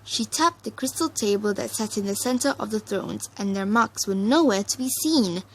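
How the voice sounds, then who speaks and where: A woman reading fan fiction aloud as an expressive storyteller. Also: mid-range voice, normal speed, slight background noise, low-quality recording.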